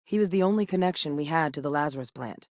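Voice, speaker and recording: A woman's voice: low-pitched, lively intonation, fast, darkish tone, no echo, studio quality. An actress voicing a guarded, driven woman military scientist in a space video game, speaking in a firm, earnest, matter-of-fact way.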